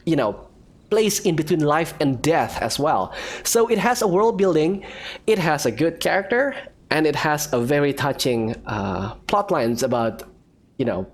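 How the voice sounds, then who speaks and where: A man giving casual, expressive let's-play commentary, often repeating his phrases. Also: on the high side. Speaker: gaming video creator.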